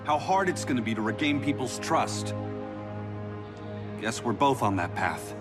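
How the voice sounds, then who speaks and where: A man's voice: mid-range voice, lively intonation, measured pace, over loud music, close to an everyday voice. An actor playing a wry, reflective male speedster hero in a video game cutscene. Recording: game cutscene with loud score.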